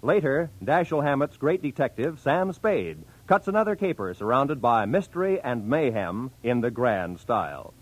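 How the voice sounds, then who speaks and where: A man's voice: mid-range voice, big pitch swings, normal speed, hardly any pauses. An American man announcing on 1940s radio in a polished, declamatory style. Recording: vintage radio broadcast, music underneath.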